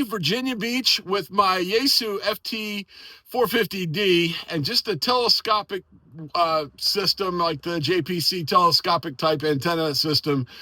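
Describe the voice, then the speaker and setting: An American man chatting casually and excitedly as an amateur radio operator, friendly and effusive. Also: high-pitched, dry recording. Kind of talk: casual enthusiastic chat and radio exchanges.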